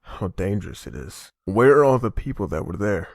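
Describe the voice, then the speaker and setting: A man's voice: lowish voice, very animated, normal speed, vocal fry, dry recording, studio quality, heavily acted. A man playing a weary survivor, an anxious husband and father, in a dubbed comic drama. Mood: bewildered, worried.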